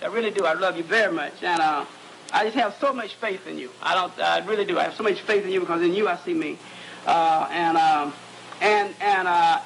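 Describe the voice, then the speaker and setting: A man, a psychologist, giving an animated lecture to students, repeating words as he searches and joking with the audience. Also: sits high, vocal fry, a little hiss or hum, poor audio.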